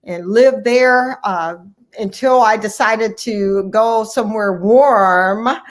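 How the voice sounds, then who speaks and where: A woman's voice: on the high side, slow pace. A middle-aged American woman speaking as an interview guest, telling personal stories in a conversational way. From Cleveland, Ohio, United States. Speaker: interview guest, family physician.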